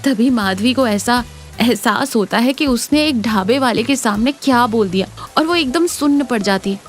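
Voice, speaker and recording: A woman's voice: fairly high pitch, animated, moderate pace, soft background music. A woman reading scripted narration for a cartoon moral story.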